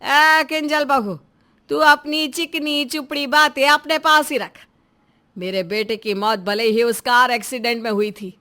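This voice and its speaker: A woman voicing a harsh, contemptuous older Indian mother-in-law in a melodramatic audio story, berating in a shrill manner. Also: fairly even intonation, normal speed, hardly any pauses, a bit bright, close to an everyday voice. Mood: scolding.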